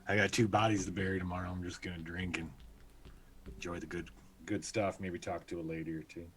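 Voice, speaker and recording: A man playing a tabletop game, speaking in a dry, deadpan way. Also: sits low, fairly quick. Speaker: player.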